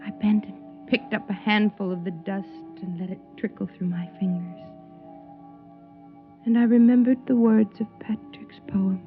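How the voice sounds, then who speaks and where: Woman as wistful wife narrating, slow, tinny radio. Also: mid-range voice, natural voice. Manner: slow, dreamy, solemn, poetic. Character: wistful young wife narrating her escape. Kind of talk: 1950s radio drama narration. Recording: tinny vintage radio recording, faint music.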